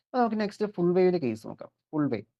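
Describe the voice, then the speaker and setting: Fast-talking Malayali lecturer, dull recording. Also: mid-range voice, big pitch swings. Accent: Malayali (Kerala). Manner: keeps posing questions and answering them; mixes in English technical terms. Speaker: electronics lecturer. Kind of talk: explanatory lecture worked step by step. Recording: dull, lo-fi audio.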